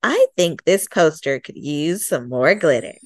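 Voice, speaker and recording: Soft, hesitant young schoolboy voiced by a woman. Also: deep voice, animated, a little slow, dry recording, studio quality, pitched below the performer's own voice. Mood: gentle. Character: sensitive young schoolboy with two dads. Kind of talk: children's storybook character voice.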